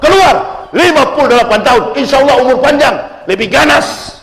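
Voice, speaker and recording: A man in his forties speaking loudly and defiantly in a forceful public speech, captured on a replayed clip. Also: extremely high pitch, animated, moderate pace. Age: 40s. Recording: echoey, noisy replayed clip.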